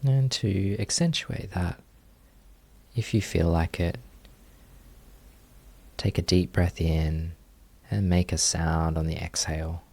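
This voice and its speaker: A man with a deep, low-pitched voice teaches a guided meditation. He speaks very slowly and gently, leaving long pauses between short phrases of instruction. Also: steady tone, breathy edge.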